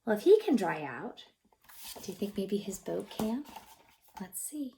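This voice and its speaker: A woman reads a picture book to little children in a mid-pitched voice with a noticeable creak. She is expressive and gently encouraging, pausing to ask questions about the pictures. Her delivery often softens into a breathy near-whisper. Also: a little hiss or hum. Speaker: childcare teacher reading a picture book aloud. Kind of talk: read-aloud storytime for young children, narrating pictures and asking questions.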